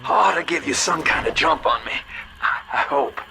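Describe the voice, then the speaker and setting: A man's voice: on the high side, animated, moderate pace, gravelly, bright, forceful tone, slight background noise, heavily acted, low-quality recording. An actor playing a slick, world-weary older businessman in a video game, with a folksy, familiar drawl. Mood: pained, resigned.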